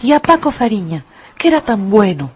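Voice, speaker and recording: A woman narrating a dramatised radio story in an expressive, deliberate, emphatic way. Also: medium pitch, slight background noise.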